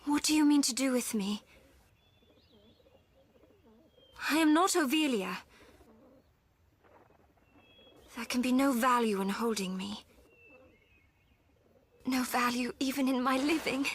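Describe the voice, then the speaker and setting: An actress playing a wounded, weary young princess in a dramatic video game cutscene, with formal, plaintive delivery. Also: female voice, high voice, normal speed, breathy edge. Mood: despairing. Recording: loud music behind the voice.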